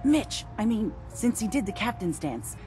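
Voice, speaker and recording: A woman's voice: mid-range voice, very animated, normal speed, darkish tone, soft background music, lightly acted. An actress playing an earnest, worried young townswoman in a video game, talking in a pressing way. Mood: worried pleading. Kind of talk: video game character acting.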